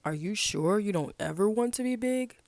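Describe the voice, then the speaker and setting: A woman's voice: medium pitch, expressive, moderate pace, a little mellow, no echo, close to an everyday voice. Woman reading a patient storybook animal elder. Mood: coaxing. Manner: coaxing, matter-of-fact. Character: patient grown-up frog parent and easygoing pig. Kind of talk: picture-book read-aloud.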